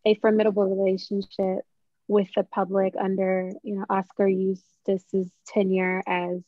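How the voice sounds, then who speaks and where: A young woman talks reflectively as an interview guest, searching for her words. Her pitch is average, and the video-call sound is close and dry but a little dull. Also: monotone, unhurried, no echo. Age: young adult. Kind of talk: conversational interview answers. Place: indoors in a room at home.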